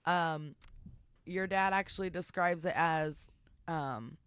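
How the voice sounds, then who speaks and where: A woman in her twenties with a deep voice, co-hosting a casual podcast chat and telling a story with lots of pauses and ums. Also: fairly bright tone, smooth, no echo. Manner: rambling storyteller with many ums. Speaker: podcast co-host.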